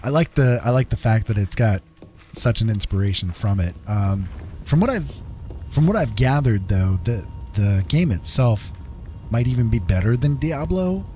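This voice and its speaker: A man's voice: medium pitch, animated, moderate pace, dark tone, slight background noise. A middle-aged man livestreaming a game, chatting casually in a friendly, musing, polite way. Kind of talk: casual chat while gaming.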